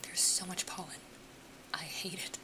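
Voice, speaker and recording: A woman's voice: on the low side, fairly even intonation, normal speed, rough recording. A young woman with an American accent whispering casually to her live stream viewers, a little sniffly, close to the mic. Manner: soft whisper throughout, chatty, laughs often. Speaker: ASMR streamer chatting with viewers.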